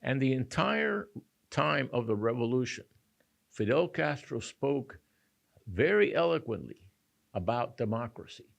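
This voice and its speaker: An older man from Cuba, speaking as a panelist in halting, earnest roundtable remarks. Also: mid-range voice, big pitch swings, vocal fry, highs cut off. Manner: halting, with restarts and fillers.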